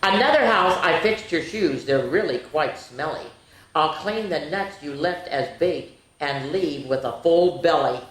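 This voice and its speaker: A woman's voice: deep voice, expressive, unhurried, echoey room, rough recording. A woman voicing a cheeky, boastful little male leprechaun in sing-song rhyme, reading a children's picture book aloud. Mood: cocky. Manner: sing-song rhyming taunts.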